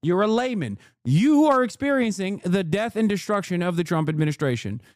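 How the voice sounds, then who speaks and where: A man's voice: sits high, sing-song, a little fast, darkish tone, dry recording, clean studio sound. A man in his thirties, a streamer, ranting in heated, profane reaction commentary and laughing as he talks. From Turkey. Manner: laughs through his lines.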